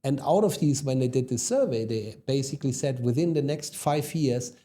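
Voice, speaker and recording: A man in his forties with a German accent talks through his career in a relaxed podcast interview. His voice is mellow and mid-pitched, and he fills gaps with hesitations and tag questions like "no?" and "right?". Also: moderate pace. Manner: conversational, frequent ums and tag questions. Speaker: guest, e-commerce executive.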